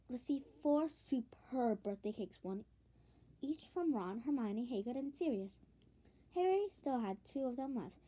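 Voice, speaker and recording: A woman reading a storybook aloud as narration, expressive, with the occasional stumble over a phrase. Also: on the high side, rough recording. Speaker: audiobook reader.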